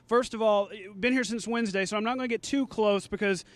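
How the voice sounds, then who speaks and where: A male sports anchor with a high voice presents a live TV sportscast. He talks conversationally and jokily, as if chatting with fans. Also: fast talker, no room sound.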